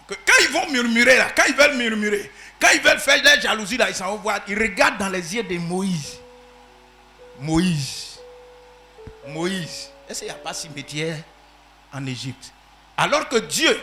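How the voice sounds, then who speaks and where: A man preaching a sermon as pastor, swinging from shouted peaks to quiet asides. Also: sits high.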